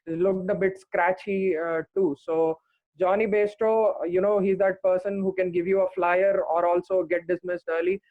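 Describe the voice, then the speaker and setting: A man speaking as a guest on a podcast, talking earnestly and pausing often on "uh". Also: high-pitched, level tone, no echo. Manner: earnest, many "uh" pauses. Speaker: podcast guest, cricket fan. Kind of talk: casual podcast chat. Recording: dry tinny phone-like line.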